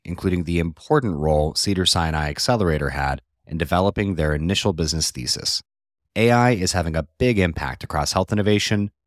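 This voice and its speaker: A man's voice: sits low, fairly bright tone, no echo, clean studio sound. A man from Colorado hosting a podcast, reading an upbeat intro and asking friendly questions. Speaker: podcast host.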